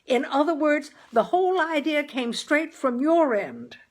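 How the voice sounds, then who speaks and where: A woman voicing a gloomy, plainspoken working-class father in a storybook, with folksy grammar and a deliberate, emphatic delivery. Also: high-pitched, animated, pitched above the performer's own voice. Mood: eager, emphatic. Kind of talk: dramatized audiobook character.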